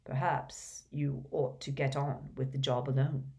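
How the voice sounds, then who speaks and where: A woman voicing a gentle, calm old male wizard headmaster who speaks softly and mildly. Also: extremely low pitch, voice pushed down. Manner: soft, mild, unhurried.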